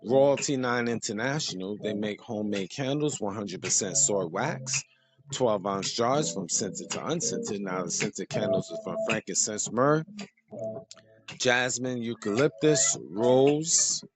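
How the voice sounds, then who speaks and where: A man hosting his podcast with a slightly hoarse voice, talking casually and repeating contact details in an easygoing, rambling way. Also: on the low side, measured pace, over music. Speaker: podcast host. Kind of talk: casual podcast talk with sponsor plugs.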